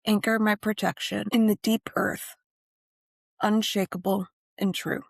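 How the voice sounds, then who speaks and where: A woman narrating a scripted guided meditation and ritual in measured, deliberate phrases with frequent pauses. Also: mid-range voice, steady tone, creaky, no room sound.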